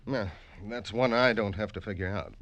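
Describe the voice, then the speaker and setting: A man's voice: on the low side, normal speed, fairly bright tone, highs cut off. An actor playing a casual, matter-of-fact lawman chatting in a 1940s radio drama, on an old recording.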